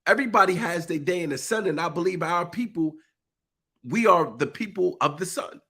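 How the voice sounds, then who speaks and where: A man's voice: sits high, lively intonation, brisk, pushed and bright, breathy edge. Stuffy, preachy man. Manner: preachy, emphatic, uses informal speech. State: congested, stuffy nose. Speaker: live-stream speaker. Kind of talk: impassioned live monologue.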